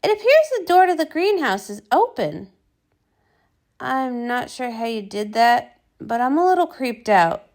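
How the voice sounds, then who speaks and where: A woman voicing a brash, excitable male soldier pony in a comic, full of boastful bravado. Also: high voice, unhurried, full character voice. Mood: uneasy bravado.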